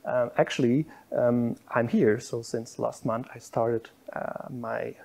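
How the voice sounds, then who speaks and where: A man's voice: mid-range voice, fairly even intonation, slow, vocal fry, a little mellow. A middle-aged man giving a university public lecture in a lecture room, explaining slides with frequent fillers. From Belgium.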